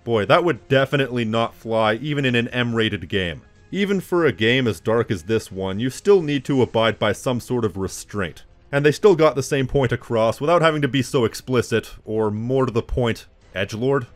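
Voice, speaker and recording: A man's voice: mid-range voice, animated, normal speed, few pauses, soft background music. A man delivering scripted video commentary as an essayist, with snide, wry asides.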